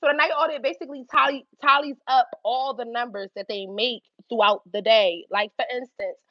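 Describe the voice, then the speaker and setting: A young woman with a Philadelphia accent telling a story on her livestream, animated and swearing freely between laughs. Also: a little high, fairly quick, dry recording, highs cut off. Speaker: YouTube livestream host. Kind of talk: casual livestream storytelling to chat.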